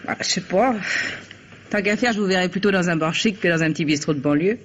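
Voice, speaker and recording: A woman's voice: lowish voice, fast talker, lightly acted. An actress playing a frank, world-weary woman in her forties who runs a café, in an old French radio drama. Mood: wry, curious. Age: 40s. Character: world-weary forty-year-old café owner, former gangster's companion. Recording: muffled old radio recording, background hiss.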